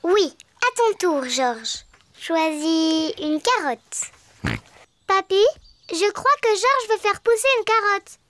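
Very high, bubbly little girl piglet. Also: expressive, takes it slowly, a bit bright, slight background noise, full character voice. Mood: eager. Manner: eager, childlike. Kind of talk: children's cartoon acting in French dub. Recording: music under the voice.

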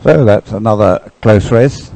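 Slow, mellow male race commentator. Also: fairly low pitch, creaky, no room sound. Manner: unhurried, halting, thoughtful. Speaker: sports commentator. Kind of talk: live, unscripted race commentary.

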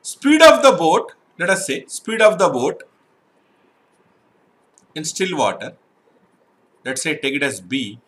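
A man's voice: fairly high pitch, very animated, slow pace, long pauses, a bit bright. A middle-aged man teaching an exam-prep math lesson online, explaining step by step and often checking "right?" with his students.

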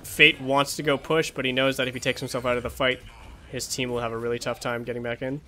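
A man's voice: mid-range voice, little pitch movement, fast talker, sharp tone, a little hiss or hum. A young man reviewing an esports match as a coach, thinking aloud with many fillers, his voice tired after hours of streaming, on a home streaming mic. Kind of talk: casual match review commentary.

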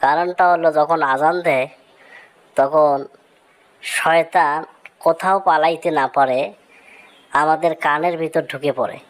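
A woman's voice: deep voice, steady tone, unhurried, long pauses, poor audio. A woman playing a friendly village dog in a cartoon moral story, talking in a neighbourly, simply explanatory way. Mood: explaining. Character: friendly village dog, sociable and matter-of-fact.

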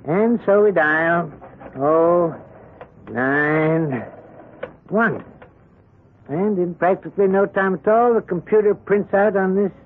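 An actor playing a smug, mock-courteous, husky-voiced crooked credit boss in a 1970s radio drama. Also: male voice, high voice, slow, pauses often. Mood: smug, mock-polite. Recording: thin lo-fi vintage radio recording.

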